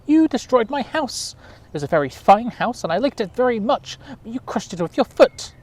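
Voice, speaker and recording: A man voicing a small, brave mouse in a storybook tale, speaking high and squeaky as it scolds. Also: expressive, moderate pace. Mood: indignant.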